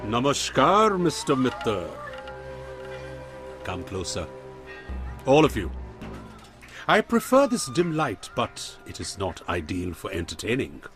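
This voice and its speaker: An actor playing a smug, menacing crime boss in a radio drama, with an Indian accent, mock-courteous and taunting, pausing deliberately. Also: male voice, medium pitch, wildly swooping pitch, music in the background. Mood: smooth menace.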